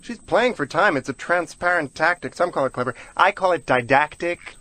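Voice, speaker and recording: A man's voice: fairly high pitch, animated, moderate pace, pushed and bright. A man playing a pompous cat defense lawyer, impatient, sardonic and dismissive, in a rhymed comic story. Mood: dismissive, objecting.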